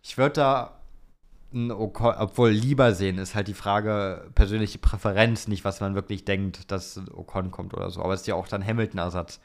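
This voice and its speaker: A man's voice: on the low side, steady tone, normal speed. A man co-hosting a podcast in casual, opinionated and teasing conversation, mixing in English words. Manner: mixes English words into German. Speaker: podcast co-host. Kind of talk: casual chat about motor racing.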